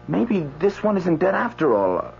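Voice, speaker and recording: An actor playing a flustered new doctor at a medical examiner's office in a radio drama, jittery and hesitant. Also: male voice, high-pitched, very animated, unhurried, vocal fry, a little mellow, slight background noise, full character voice. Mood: puzzled, unsettled. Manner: jittery, hesitant, repeats himself. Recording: muffled radio recording, faint music.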